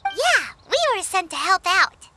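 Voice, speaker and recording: A tiny fairy companion girl with an extremely high, childlike voice. She is perky and blunt, swooping up and down as she chatters in a game cutscene over music. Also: very animated, measured pace, heavily acted. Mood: chirpy, matter-of-fact. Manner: refers to self in third person, bouncy. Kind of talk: video game cutscene acting.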